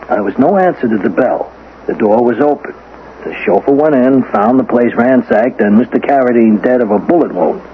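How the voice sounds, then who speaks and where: A man's voice: medium pitch, lively intonation, normal speed, close to an everyday voice. Man acting a weary, patronizing police lieutenant, tinny recording. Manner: hesitant hemming, official and patronizing. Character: weary, condescending male police lieutenant, defensive about his case. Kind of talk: 1970s radio drama acting. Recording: tinny, noisy old radio broadcast recording.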